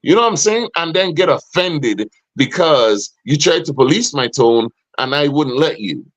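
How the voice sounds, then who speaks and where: A middle-aged man with a deep, booming voice hosts a casual podcast. He speaks emphatically and gets animated when he pushes back with pointed questions. The sound is dry and close. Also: moderate pace, no room sound, low-quality recording. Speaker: podcast host.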